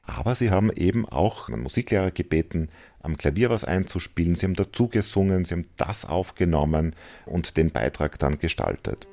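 Male educator in interview, Austrian accent, dark low voice with gravel, conversational explaining. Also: animated, a little fast. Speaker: teacher trainer and school radio founder.

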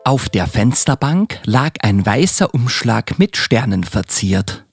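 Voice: mid-range voice, big pitch swings, slow, husky, no room sound, studio quality, faint music behind. A young school-age child narrating a children's Christmas radio play in a scripted storybook style. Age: child, about ten.

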